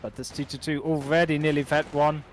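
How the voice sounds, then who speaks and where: A man's voice: a little high, level tone, noisy background. Male esports caster over gunfire. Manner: brisk, self-deprecating banter. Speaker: game caster. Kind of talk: esports play-by-play commentary. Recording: battle sounds under voice.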